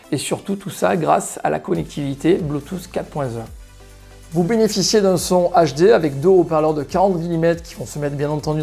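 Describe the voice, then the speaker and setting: A man's voice: fairly high pitch, gravelly, warm tone. A man giving an explanatory, semi-scripted product presentation straight to viewers as a shop presenter. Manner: explanatory, addressing viewers directly.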